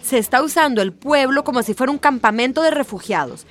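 A woman's voice: sits high, lively intonation, a little fast. An actress in a radio drama playing a bossy, scatterbrained committee woman with a fussy, imperious manner.

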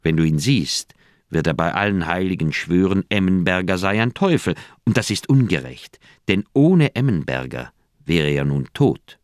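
An actor playing an older, thoughtful doctor, recounting memories in a measured way in a radio play. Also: male voice, low-pitched, lively intonation, no room sound, clean studio sound. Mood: troubled, candid. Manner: measured, deliberate storytelling. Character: older male Swiss doctor, thoughtful, candid old friend. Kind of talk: radio play dialogue, reminiscing narration.

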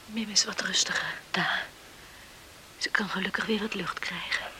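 A woman's voice: mid-range voice, fairly even intonation, moderate pace, pushed and bright, in a whisper, lightly acted. A woman playing a caring but firm young farmer's daughter in a radio play. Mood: gentle, concerned.

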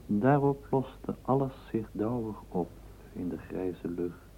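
A man narrating a literary radio play in a measured, dramatic storytelling manner. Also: fairly low pitch, expressive. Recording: tinny, narrowband old radio tape, faint hiss.

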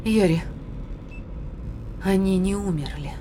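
An actress playing a panicky, sharp-tongued female spaceship crew member in an audio drama. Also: mid-range voice, unhurried, slight background noise, natural voice. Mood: frightened. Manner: agitated, scolding.

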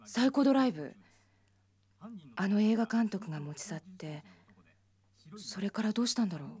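A woman's voice: mid-range voice, animated, normal speed, darkish tone, natural voice. An actress playing a dreamy, wistful young woman in a radio drama. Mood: light, musing.

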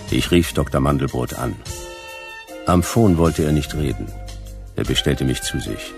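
A man's voice: very deep voice, gravelly, heavily acted. A man playing a laconic, hard-boiled private detective in a noir radio play, dry, terse and wry. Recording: music behind the voice.

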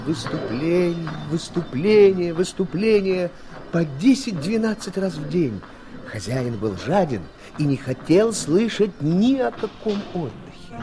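A man narrating a fairy-tale audio play in an expressive, scripted storytelling style. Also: fairly high pitch, a little slow, music in the background.

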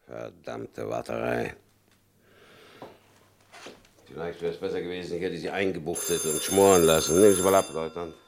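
Bossy fbi chief, super deep voice, clipped orders, old radio crime play. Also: male voice, brisk, gravelly, a little mellow, a little hiss or hum. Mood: grim, determined. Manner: clipped, commanding. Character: stern middle-aged male FBI agent in charge.

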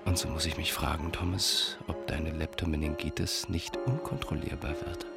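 An actor playing a menacing, coolly controlling doctor in a German radio crime drama, speaking in a measured, clinical way. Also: male voice, a bass voice, fairly even intonation, creaky, over loud music. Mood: coldly menacing.

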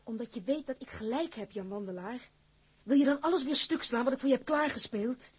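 A strong-willed, shrewd woman in a radio drama. Her voice is high and very breathy, husky at the edges. She presses her point with urgent, persuasive insistence. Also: fairly quick. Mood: firm.

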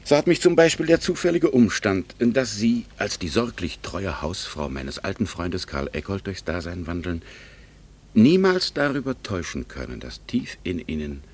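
An actor playing a wistful, ironic middle-aged gentleman and secret admirer in a 1960s German radio drama, with courtly, hesitant speech that can drop to a near-whisper. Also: low-pitched, sing-song, normal speed. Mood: ironic, probing.

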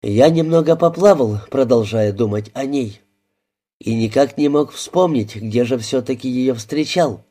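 A man narrating a first-person novel as an audiobook in an even, measured storytelling manner. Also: mid-range voice.

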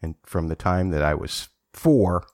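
A man's voice: low voice, lively intonation, a little slow, a little mellow, no room sound, clean studio sound. A man in his forties hosting a podcast, joking and stumbling on his words as he chats about a book. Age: 40s. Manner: playful, jokey, repeats words when starting a sentence. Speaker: podcast host. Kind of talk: casual book discussion.

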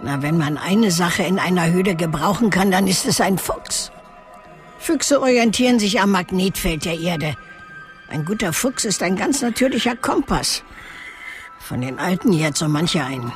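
A woman's voice: on the low side, animated, normal speed, lightly acted. Actress as elderly female cave dweller, eccentric, knowing, reminiscing, naturalistic radio drama, music behind. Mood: matter-of-fact, fond. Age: older. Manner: matter-of-fact, reminiscing.

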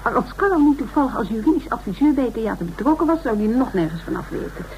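A woman's voice: fairly high pitch, very animated, fast. A woman playing a chatty, meddling go-between friend in a radio play. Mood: gossipy. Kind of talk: naturalistic Dutch radio play acting. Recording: dull radio play recording, faint noise.

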